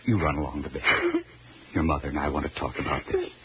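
An actor playing a patient, loving middle-aged father and husband, earnest and halting, in old-time radio drama style. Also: extremely low pitch. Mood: tender, soothing. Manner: earnest, gently reasoning, halting. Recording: dull old radio recording, faint noise.

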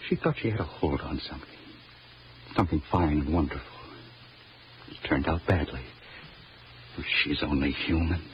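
An actor playing a patient, loving middle-aged father and husband, earnest and halting, in old-time radio drama style. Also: extremely low pitch. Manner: earnest, gently reasoning, halting. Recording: dull old radio recording, faint noise.

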